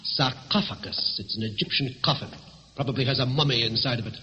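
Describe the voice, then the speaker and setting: An actor playing a suave, composed gentleman thief, persuasive and commanding, in old-time radio drama style. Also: mid-range voice, a little fast, close to an everyday voice. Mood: brisk, reassuring. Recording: dull, noisy vintage radio recording.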